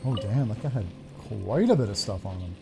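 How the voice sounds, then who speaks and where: A man's voice: on the low side, sing-song, a little fast, loud music behind the voice. A man playing a video game and commenting casually, swearing and muttering asides to himself.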